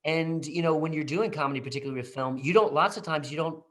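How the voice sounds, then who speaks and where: A man's voice: on the high side, fast talker. A man who directed a play, speaking in a casual video-call talkback, rambling with many restarts and fillers.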